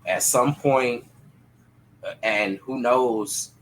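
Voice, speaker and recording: Bright-voiced man, animated casual podcast talk. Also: mid-range voice, very slow, a little hiss or hum, poor audio. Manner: animated, slangy, profane. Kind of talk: casual panel discussion.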